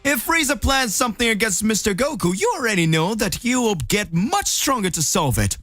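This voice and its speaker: A man voicing a calm, polite divine attendant. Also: high-pitched, brisk, no room sound, studio quality, faint music behind, voice pushed up, heavily acted. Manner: polite and soothing.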